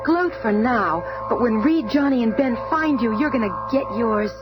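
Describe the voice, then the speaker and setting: An actress playing a plucky, earnest superhero heroine in a 1970s radio drama. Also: female voice, a little high, expressive, normal speed, lo-fi sound, natural voice. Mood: defiant. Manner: theatrical, earnest. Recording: music behind, old radio show.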